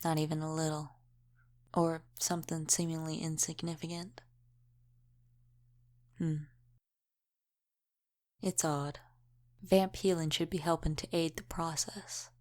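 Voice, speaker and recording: Centuries-old female vampire mentor, played by a woman: low register, guarded, measured, dryly matter-of-fact. Audio roleplay. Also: flat delivery, darkish tone. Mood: probing, puzzled. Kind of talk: scripted audio roleplay, addressed to the listener.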